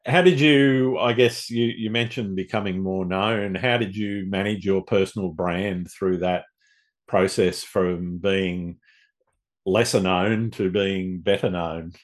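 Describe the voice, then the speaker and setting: Slow, very low-voiced male host. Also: steady tone. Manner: long meandering questions, friendly backchannels. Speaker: podcast host. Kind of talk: interview questions.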